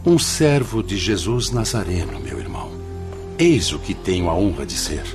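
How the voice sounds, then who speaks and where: An actor in a radio drama playing a serene, humble spirit guide, a man with a Brazilian accent speaking in a measured, formal, didactic manner. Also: deep voice, very animated. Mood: humble, solemn. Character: serene, humble elder-brother spirit guide, male, patient and firm. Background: music.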